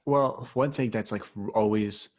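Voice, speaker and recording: A man in his mid-twenties hosts a casual podcast conversation, his speech loose and full of "like". His voice sits on the low side. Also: measured pace, darkish tone. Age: 20s. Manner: casual, says "like" often. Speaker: podcast host.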